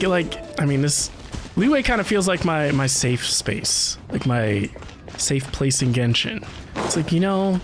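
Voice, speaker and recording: Chatty, enthusiastic game streamer over music. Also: medium pitch. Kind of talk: casual livestream game commentary.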